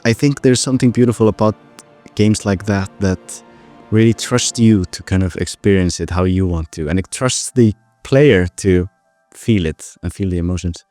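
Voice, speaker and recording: Man in his twenties, Icelandic accent, very deep voice, mellow tone, casual interview guest, loose enthusiastic delivery. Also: moderate pace, no echo, faint music behind. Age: 20s. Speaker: podcast guest, composer and pianist.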